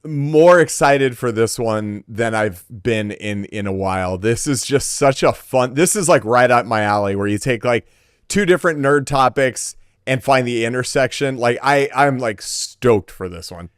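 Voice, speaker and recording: Man, podcast co-host, medium pitch, clear smooth voice, casual chat, dry sound. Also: animated, unhurried, no echo.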